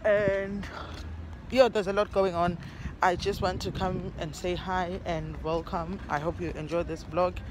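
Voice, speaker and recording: A woman's voice: on the low side, fairly even intonation, measured pace, noisy background. A young South African woman chatting casually to her phone camera outdoors, rambling and correcting herself as she talks. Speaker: vlogger. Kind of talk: casual vlog chat to camera, mixing English and Zulu. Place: outdoors in a parking lot. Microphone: phone camera at arm's length.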